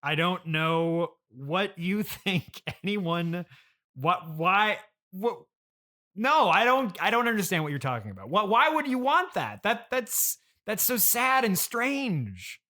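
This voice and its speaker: Halting, exasperated male podcast host. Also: high-pitched, big pitch swings, bright, forceful tone. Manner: halting, exasperated straight man. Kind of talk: improvised comedy interview.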